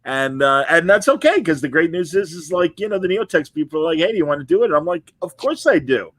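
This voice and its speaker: A middle-aged man hosts a relaxed interview show. His talk is chatty and informal, with an enthusiastic streak, and his voice sits at an ordinary male pitch in a dry, close recording. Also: fast, no room sound. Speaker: podcast host and interviewer. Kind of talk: casual interview chat.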